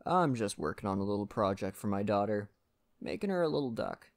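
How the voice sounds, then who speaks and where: Man playing a gentle lumberjack with some creak. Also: lowish voice, very animated. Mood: relaxed, friendly. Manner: gentle, folksy, reassuring, unhurried. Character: friendly Canadian lumberjack, gentle nature-loving father. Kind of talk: ASMR roleplay, soft-spoken monologue to the listener.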